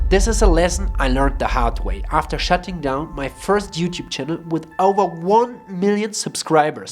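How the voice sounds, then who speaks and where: A man reading scripted voice-over narration with a punchy, teasing promo delivery. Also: high-pitched. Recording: background music under the voice-over.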